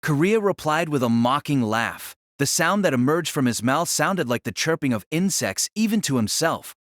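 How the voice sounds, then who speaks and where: Male narrator, slightly high voice, very dry recording, solemn dramatic fantasy storytelling. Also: animated, normal speed, a bit bright, dry recording.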